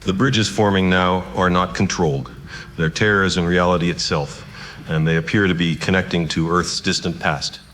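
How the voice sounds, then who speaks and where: Guy acting as rattled physicist, really low voice, halting and guilty, faint noisy ambience. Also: fairly even intonation, a bit bright. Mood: shaken. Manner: halting, stammering, awed. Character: shaken, guilt-ridden male physicist. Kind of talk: dramatic interview by remote link in audio drama.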